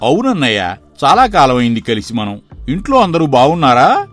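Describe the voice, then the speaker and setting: A man playing a lively-pitched humble village father. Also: moderate pace, soft background music. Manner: deferential, earnest. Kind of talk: moral story voice acting.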